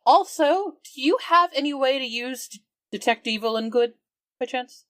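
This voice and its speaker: A woman's voice: high voice, lively intonation, moderate pace, fairly bright tone, dry recording, clean studio sound. A woman improvising in-character conversation as a tabletop game player, polite and appreciative.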